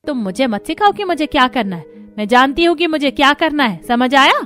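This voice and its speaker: A woman voicing a greedy, scolding middle-aged mother-in-law in a cartoon, with a sharp-tongued, commanding manner. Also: sits high, expressive, fairly quick, faint music behind.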